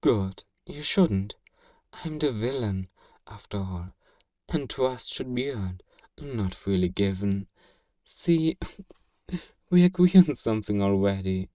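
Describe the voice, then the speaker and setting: Man playing a slow-spoken, teasing fantasy villain. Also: lowish voice, lively intonation, mellow, no room sound, studio quality, distant mic. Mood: amused, teasing. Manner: theatrical, deliberate, formal, teasing, with breathy whispers. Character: powerful male fantasy villain, teasing, smug, obsessively flirtatious. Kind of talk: ASMR romance roleplay.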